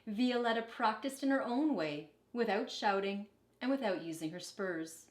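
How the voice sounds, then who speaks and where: A woman teacher reading a children's storybook aloud in an expressive, deliberate way, with brief teaching asides. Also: mid-range voice. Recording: echoey, reverberant room.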